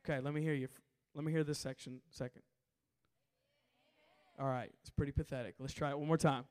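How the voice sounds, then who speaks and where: Hoarse man preaching in a big hall. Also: mid-range voice, steady tone, fairly quick, a little mellow. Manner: call-and-response, coaxing the crowd. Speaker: camp preacher. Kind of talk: sermon to a youth camp crowd. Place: large hall at a summer camp. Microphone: handheld stage mic. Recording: reverberant large hall.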